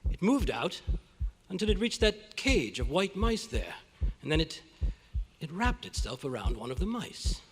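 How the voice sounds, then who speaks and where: A man playing a frightened, earnest doctor in old-time radio horror, speaking in a halting, melodramatic way. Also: a little high, sing-song, long reverb tail. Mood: shaken, awed. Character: shaken male doctor, earnest frightened eyewitness. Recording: noisy live meeting room recording.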